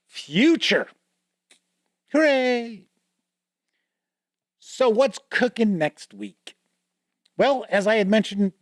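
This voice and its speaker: A man's voice: fairly high pitch, no room sound, clean studio sound. A man hosting a tabletop gaming show, casually flipping through a book, reading entries aloud and commenting, joking about his own mispronunciations. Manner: chatty, reads entries aloud and comments on them. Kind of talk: casual product walkthrough.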